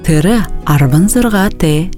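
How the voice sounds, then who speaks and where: A woman's voice: lowish voice, lively intonation, unhurried, a little mellow, soft background music. A woman reading words and phrases for a language lesson, carefully enunciated for learners to repeat, on a close studio mic. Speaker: native-language speaker in a lesson.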